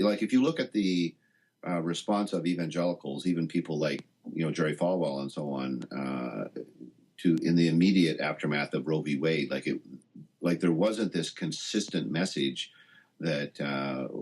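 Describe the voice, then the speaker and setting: Very deep, husky-voiced male professor explaining. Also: normal speed, a little mellow. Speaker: interview guest, professor of science and religion. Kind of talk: explanatory conversational interview answer.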